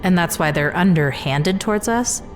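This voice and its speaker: An earnest female scientist played by a woman in a sci-fi audio thriller, probing and curious. Her pitch is a little low but moves in lively swings, over background music. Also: normal speed, lightly acted. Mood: puzzled. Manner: inquisitive, questioning. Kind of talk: scripted sci-fi audio drama.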